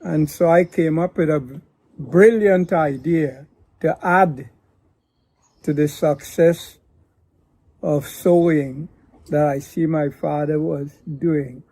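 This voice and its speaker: An older man from Guyana, a pastor telling his daughter his life story in a slow, deliberate way. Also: a little high, fairly even intonation, warm tone, dry recording. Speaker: pastor and father of the host. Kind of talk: reflective family interview.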